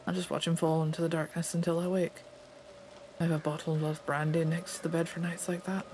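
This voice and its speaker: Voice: mid-range voice, flat delivery, brisk, a little mellow, slight background noise, natural voice. A player reading aloud the lines of a plainspoken Scottish stable master in a game, in a folksy, understated way. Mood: uneasy.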